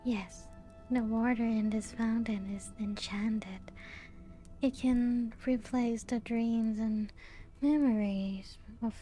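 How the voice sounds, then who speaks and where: A woman playing a genteel, serene, playful ancient vampire in a soft-spoken ASMR roleplay, with a breathy, often half-whispered voice and gentle lilt. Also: a little high. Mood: mysterious, calm. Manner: slow, unhurried, gently lilting storytelling. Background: music.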